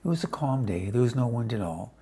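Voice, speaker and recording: A man reading a children's storybook aloud casually, with chatty asides to his listeners and a slightly husky voice. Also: mid-range voice, expressive, fast, mellow. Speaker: storybook reader.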